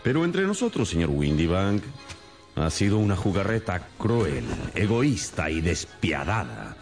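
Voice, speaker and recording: An actor playing a shrewd, stern detective in a radio drama, speaking in a deliberate, theatrical, expository way. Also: male voice, deep voice, big pitch swings, faint music behind, full character voice. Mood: stern, accusing.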